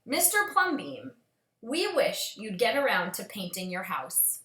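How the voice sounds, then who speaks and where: A woman's voice: medium pitch, big pitch swings, unhurried, bright, forceful tone. A woman voicing fussy, gossipy, scandalized neighbors in a children's storybook, exclaiming with indignation. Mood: indignant. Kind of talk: children's storybook read-aloud. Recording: echoey room.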